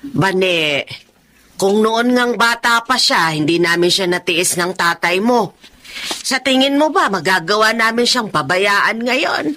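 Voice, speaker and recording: An expressive older mother. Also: medium pitch, slow pace, slight background noise. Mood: pleading. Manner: expressive, exclamatory. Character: caring older mother, emotional and devout. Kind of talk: radio drama acting.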